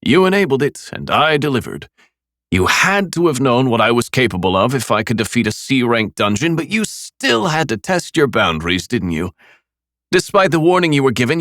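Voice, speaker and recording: A man voicing an armored male monster who taunts his foe slowly in a hollow voice. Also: fairly high pitch, vocal fry, a bit bright, dry recording, studio quality, voice pushed up. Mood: mocking. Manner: slow, taunting, patient menace.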